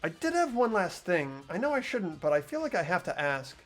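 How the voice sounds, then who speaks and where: Performer voicing an unsure, good-hearted teen boy; stammers, pitch swings widely. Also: big pitch swings, brisk, soft background music, natural voice. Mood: earnest. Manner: hesitant, stammering, earnest. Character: earnest, hesitant teenage boy student. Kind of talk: amateur voiced game playthrough.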